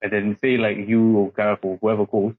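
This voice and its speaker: A man's voice: on the low side, little pitch movement, fairly quick. A young man with a British accent, talking casually as an interview guest, rambling with lots of "like" and "innit". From Singapore. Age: young adult. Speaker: interview guest, army corporal. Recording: muffled lo-fi audio.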